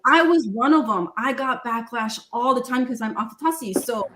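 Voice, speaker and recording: A woman's voice: a little high, moderate pace, fairly bright tone. A woman, a guest on a live panel, speaking emphatically and repeating her points. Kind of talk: casual live panel discussion. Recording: roomy echo.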